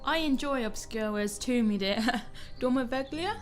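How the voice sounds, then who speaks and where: A woman's voice: on the high side, unhurried, soft background music, close to an everyday voice. A woman acting a quirky, playful young woman in a radio drama, teasing and breezily confident. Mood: whimsical, easygoing. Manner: teasing, whimsical.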